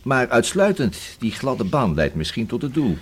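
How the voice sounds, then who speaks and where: An actor in a Dutch radio play playing a persistent, dryly ironic police inspector, with a husky voice and formal, measured manner. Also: male voice, mid-range voice, sing-song. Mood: probing, formal.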